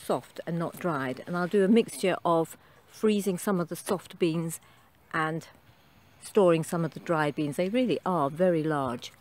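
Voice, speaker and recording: A woman's voice: on the low side, a little slow, a little mellow. A middle-aged woman chatting casually about her garden while filming outdoors on a phone, with frequent ums. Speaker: gardening vlogger. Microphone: handheld phone camera.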